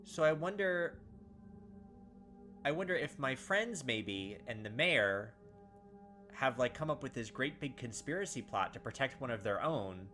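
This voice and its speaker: A man with a bright, forward voice at an average pitch hosts a visual novel playthrough. He reads the narration aloud, then breaks off into animated, puzzled speculation, sometimes mimicking the characters. Faint music plays underneath. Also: measured pace. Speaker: let's-play host. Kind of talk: gameplay commentary mixed with reading visual novel text aloud. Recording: music playing behind the voice. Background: game background music.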